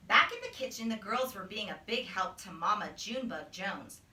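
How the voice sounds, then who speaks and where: A woman's voice: mid-range voice, lively intonation, normal speed, pushed and bright, slight background noise. A woman, a teacher, narrating a storybook in a children's read-aloud style. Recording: roomy, distant microphone.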